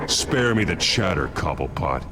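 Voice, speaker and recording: A man's voice: deep voice, big pitch swings, unhurried, music in the background. A man playing a grim, commanding masked vigilante hero in a video game, speaking in a terse, stern, controlled way. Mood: stern, threatening.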